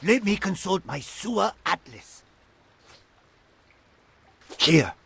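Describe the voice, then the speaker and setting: Fussy cartoon game rat father: high, gravelly, protective, fretful with dry sarcasm. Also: slow, exaggerated voice. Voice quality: raspy, gravelly. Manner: animated, fretful, dryly sarcastic. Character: fussy, protective middle-aged rat father of turtle sons. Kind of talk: animated video game cutscene acting.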